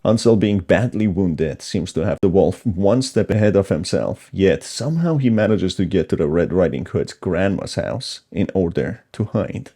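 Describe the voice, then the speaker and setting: A man's voice: low voice, expressive, moderate pace, few pauses, dark tone, husky. A man giving scripted narration that explains a horror story.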